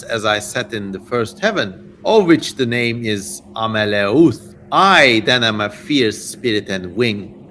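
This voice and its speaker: A man's voice: medium pitch, sharp tone, slight background noise. A man voicing a fierce, boastful demon of the Red Sea in a declamatory reading of ancient scripture.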